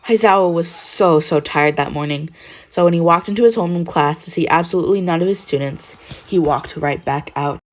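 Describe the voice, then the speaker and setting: Casting: female audiobook-style narrator, lowish pitch, reading prose fiction from a script. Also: fairly quick. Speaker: podfic narrator.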